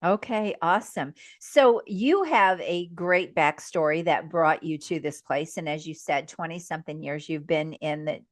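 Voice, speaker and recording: A woman with a low voice hosts an interview podcast. She is upbeat and encouraging, and her voice is recorded dry and close, with no room sound. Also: moderate pace, few pauses, no room sound. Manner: upbeat, encouraging, affirms often. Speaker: podcast host. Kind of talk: interview hosting.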